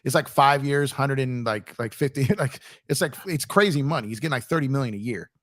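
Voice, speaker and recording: An American man hosts a casual sports stream, with a hint of New York in his speech. He talks in slangy, animated, repetitive runs. The sound is very dry, with no room to it. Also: mid-range voice, a little fast, no echo. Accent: American with a touch of New York. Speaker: sports talk show host. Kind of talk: casual sports chat on a livestream.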